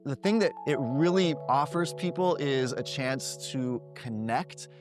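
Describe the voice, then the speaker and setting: A man's voice: medium pitch, measured pace, music in the background. Thoughtful American man answering questions. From United States. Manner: thoughtful, with hesitations and restarts. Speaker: guest, business founder. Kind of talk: conversational interview answers.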